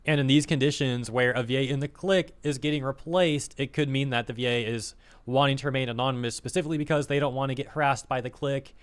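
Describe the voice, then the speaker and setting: A man rants in casual commentary, scornful and full of fillers, with his words tumbling out in quick bursts. His voice is slightly hoarse, as if he is losing it. Underneath, the tone stays smooth and resonant. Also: medium pitch, steady tone. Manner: scornful, filler-heavy, talks fast in bursts. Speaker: vtuber commentator.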